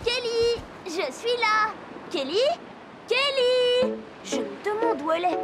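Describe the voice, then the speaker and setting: A woman's voice: extremely high pitch, very animated, takes it slowly, loud music behind the voice, exaggerated voice, low-quality recording. A woman voicing a curious, enthusiastic little girl who is the heroine of a children's cartoon. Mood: cheerful, curious. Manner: bright-eyed, inquisitive, enthusiastic. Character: curious, cheerful little girl who travels to animal friends. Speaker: main character and narrator.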